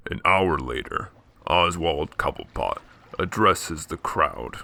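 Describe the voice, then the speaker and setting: A man's voice: extremely low pitch, animated, slow pace, gravelly, sharp tone, no echo, full character voice. A man playing a grim, terse vigilante hero who narrates and acts in a radio drama. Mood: grim, controlled. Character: grim male vigilante hero narrating his night's work. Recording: music behind.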